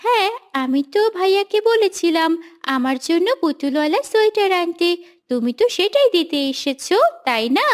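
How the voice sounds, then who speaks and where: A woman's voice: very high-pitched, very animated, normal speed. A woman voicing a small, innocent little girl with an eager, childlike manner in a moral cartoon. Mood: eager, curious. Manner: childlike, expectant. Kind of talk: Islamic moral cartoon dialogue.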